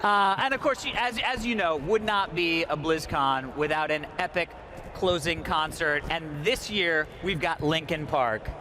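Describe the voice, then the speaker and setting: Male live-event host, high voice, jokey and casual, excited delivery, noisy convention floor behind him. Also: a little slow. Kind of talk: upbeat unscripted live hosting. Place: live set in a convention center. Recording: noisy convention hall background.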